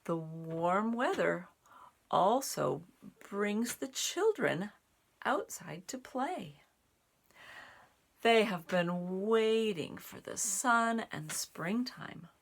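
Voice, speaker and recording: A woman reading a children's picture book aloud in an expressive, deliberate way for young listeners, with little asides. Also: medium pitch. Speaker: storybook reader and sign language teacher.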